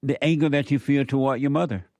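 A man's voice: medium pitch, fairly even intonation, fast, darkish tone, no room sound. A man hosting a radio call-in show, questioning the caller in a blunt, challenging way with short repeated questions. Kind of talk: call-in debate, probing questions.

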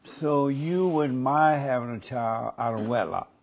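A man's voice: mid-range voice, a little slow, gravelly. An older man hosting a talk show, asking blunt and provocative interview questions.

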